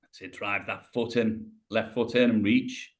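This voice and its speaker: A man's voice: fairly low pitch, fairly even intonation, a little slow. British male rehab coach, 50s, patient step-by-step cueing, webcam audio. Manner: encouraging, talks a client through each movement step by step, says mate. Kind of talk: coaching exercise over video call. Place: home room, on the floor. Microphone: video call webcam.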